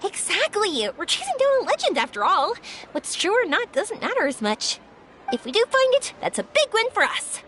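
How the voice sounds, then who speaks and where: A woman's voice: very high voice, wildly swooping pitch, moderate pace, a little hiss or hum, full character voice. A woman voicing a kind, optimistic young woman in an anime-style video game, polite and encouraging. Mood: excited. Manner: bright-spirited, polite, encouraging. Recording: background music over the voice.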